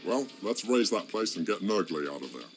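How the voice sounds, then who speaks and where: A man voicing an old one-eyed orc warlord, gruff and mocking, in a game cutscene. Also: deep voice, sing-song, fairly quick, faint music behind. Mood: scornful.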